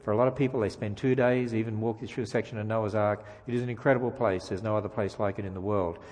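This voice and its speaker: A man's voice: fairly low pitch, steady tone, quick pace, a little mellow, long reverb tail. A man from Australia with an Australian accent, a guest speaker giving a sermon with jokes and emphatic rhetorical questions in a church. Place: church sanctuary.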